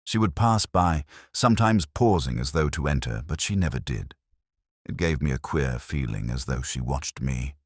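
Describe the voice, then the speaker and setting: Man acting anxious Victorian draper, deep voice. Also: animated, normal speed, breathy edge, no room sound, studio quality, full character voice. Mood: uneasy. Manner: nervous, deferential, plain-spoken. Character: pale, anxious young male Victorian draper, nervous and deferential. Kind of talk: audiobook detective story.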